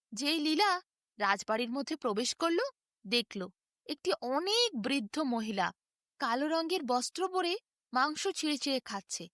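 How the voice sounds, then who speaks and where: A woman narrating a bedtime fairy tale in an expressive, dramatic, emphatic storytelling manner. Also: high-pitched, no room sound, studio quality.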